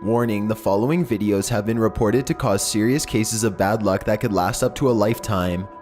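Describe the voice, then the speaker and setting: A man reading scripted creepy stories in a slow, measured, ominous way. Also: lowish voice, a little mellow, soft background music. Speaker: narrator.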